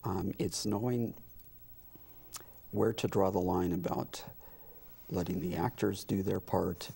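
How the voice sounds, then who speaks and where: Husky, low-voiced older man with some creak. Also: fairly even intonation, takes it slowly, dark tone. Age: middle-aged or older. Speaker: guest, community theater director. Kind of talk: talk show interview. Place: TV studio.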